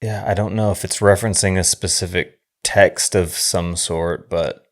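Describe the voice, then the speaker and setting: A man's voice: sits low, fairly even intonation, normal speed. A man co-hosting a podcast, talking casually about films in a musing, circling way. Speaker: podcast co-host discussing films. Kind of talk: casual film discussion.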